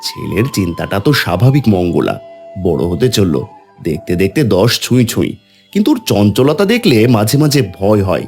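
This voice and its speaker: A man's voice: lowish voice, very animated, normal speed, creaky, a little mellow, faint music behind. A man voicing a devout, anxious landowner father who speaks humbly and pleadingly in a cartoon drama. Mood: fond worry. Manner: reverent, emotional, pleading. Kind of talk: dramatic devotional cartoon dialogue.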